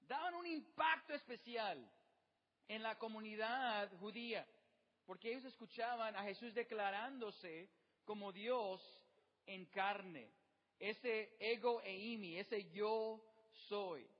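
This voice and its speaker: A male pastor preaches from the pulpit in a high voice, tossing out rhetorical questions and frequent fillers. His voice rings through a hall with a long reverb tail. The recording sounds dull and muffled. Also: slow pace. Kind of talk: sermon.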